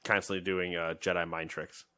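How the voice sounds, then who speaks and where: A man's voice: low-pitched, flat delivery, moderate pace, sharp tone, clean tone, no echo. A man co-hosting a gaming podcast in casual chat, rambling and joking, often trailing off into mumbles. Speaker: podcast co-host.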